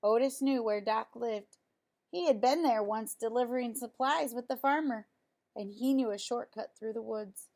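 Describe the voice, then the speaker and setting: Female storybook reader, higher register, expressive and emphatic delivery for a young audience. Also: normal speed. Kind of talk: read-aloud children's story with dramatic emphasis.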